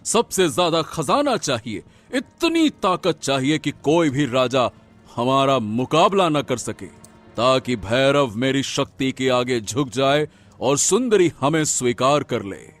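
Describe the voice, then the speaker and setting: A man's voice: medium pitch, very animated, slow, fairly bright tone, faint music behind. A man voicing an arrogant, power-hungry cartoon king with an imperious, theatrical delivery. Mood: imperious, greedy. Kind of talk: cartoon story voice acting.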